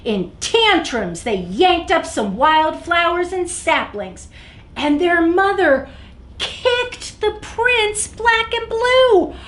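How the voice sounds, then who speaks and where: A woman's voice: extremely high pitch, animated, slow, fairly bright tone. A woman hosting a children's story time, reading aloud expressively and speaking directly to young listeners. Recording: echoey room, faint background noise.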